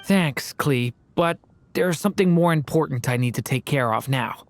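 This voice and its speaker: Low-voiced gentle dragon boy. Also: animated, moderate pace, over music. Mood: resolved. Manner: earnest, hesitant, mild. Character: gentle, earnest young dragon boy in human form. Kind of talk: video game character acting.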